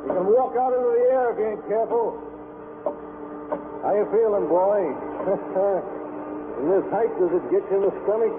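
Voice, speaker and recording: A man's voice: high voice, normal speed, pauses often, natural voice. An actor playing a folksy, bitter working-man killer with a wry, menacing manner in a vintage radio crime drama. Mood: folksy, guarded. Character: cornered male power plant worker turned wry, bitter killer. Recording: old tinny radio recording, heavy noise.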